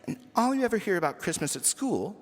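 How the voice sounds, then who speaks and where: A man's voice: high voice, big pitch swings, fast talker, pitched above the performer's own voice. A man voicing a prim, disapproving young tattletale girl, prissy and self-righteous, in a children's story. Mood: smug. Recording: echoey hall reverb with music.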